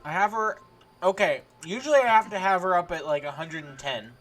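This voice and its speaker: A man's voice: high-pitched, brisk, fairly bright tone. A man chatting casually as a game master while running a sound check for an online stream. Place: home voice chat without headphones. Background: background music.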